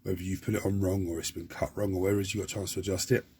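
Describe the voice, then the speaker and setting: Hoarse, croaky hobby host. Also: male voice, low-pitched, level tone, very fast, a little mellow. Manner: chatty, rambling, repeats and restarts words. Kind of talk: model-building tutorial talk.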